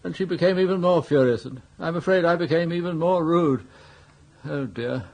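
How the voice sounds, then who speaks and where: A vague elderly aristocrat with an upper-class English accent and a high voice for a man. He is fussy and easily indignant, babbling on in the manner of radio comedy drama. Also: normal speed, creaky, a little mellow, dull audio. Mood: aggrieved, flustered. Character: dithery, indignant elderly English earl devoted to his pig.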